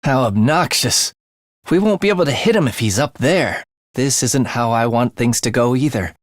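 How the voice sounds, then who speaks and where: Man acting a wildly expressive, morbid young assassin. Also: mid-range voice, no room sound, studio quality, natural voice. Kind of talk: English video game voice acting.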